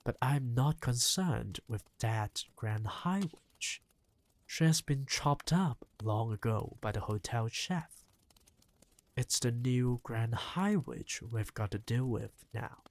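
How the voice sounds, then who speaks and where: Reader doing a brisk, impatient old grandmother. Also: mid-range voice, animated, voice pushed down. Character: fierce, spirited old grandmother with a stick. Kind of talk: audiobook character reading.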